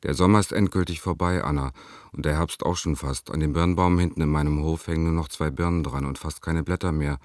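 A man's voice: a bass voice, fairly even intonation, fairly quick, no echo, clean studio sound. An actor playing a wistful lover who reads his letter to an absent girlfriend in a radio drama, tender, brooding and plain-spoken. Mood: melancholy, brooding. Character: Leon, wistful lover writing to departed girlfriend.

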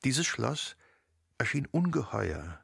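A man reading literary narration for a radio play in a measured, descriptive storytelling manner. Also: mid-range voice, very animated, creaky, a bit bright, breathy edge, no echo, studio quality.

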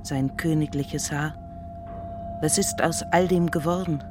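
A woman narrating a literary radio drama in a solemn, measured and dramatic manner. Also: deep voice, fairly even intonation, noisy background.

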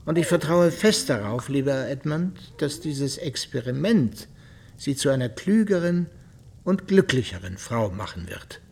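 An actor playing a stern, dignified older patriarch in a German radio drama, formal and deliberate in delivery. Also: male voice, mid-range voice, expressive, creaky, darkish tone, slightly rough, full character voice. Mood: measured, self-assured.

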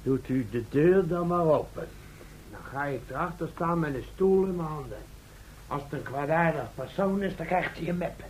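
A breezy, blunt helper spoiling for a fight played by a male actor in a radio play. His voice is somewhat high. There is clear noise on the old recording. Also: normal speed, natural voice, low-quality recording. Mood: ready, bold. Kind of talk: Dutch radio drama acting.

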